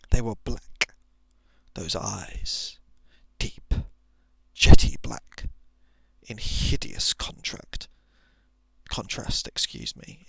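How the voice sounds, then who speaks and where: Very creaky, hushed ASMR man storyteller. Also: mid-range voice, dry recording. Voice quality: soft, breathy, often dropping into whisper. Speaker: ASMR narrator reading a short horror story. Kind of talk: ASMR story reading, scripted narration. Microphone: close microphone.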